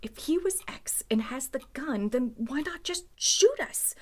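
A woman's voice: high voice, animated, a little slow, darkish tone. An actress playing a defensive, uneasy woman caught up in a radio murder mystery, with dramatic delivery. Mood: hushed, defensive. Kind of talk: radio mystery drama in Golden Age manner.

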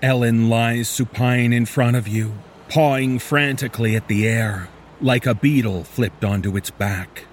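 A man reading a scripted second-person horror story in a measured, deliberate manner. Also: mid-range voice, a little hiss or hum.

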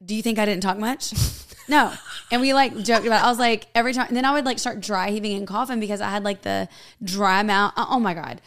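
A young woman with a raspy, gravelly voice, chatting animatedly as a podcast co-host while getting over hoarseness. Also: medium pitch, fast, fairly bright tone. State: hoarse, recovering voice. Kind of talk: casual chat.

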